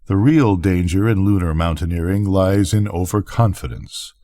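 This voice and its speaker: An older man narrates a story in a deep, low register. His tone is dark and mellow. He reads in a measured, literary manner suited to a bedtime tale. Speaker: storyteller reading to a grandchild. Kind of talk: bedtime story narration of classic science fiction.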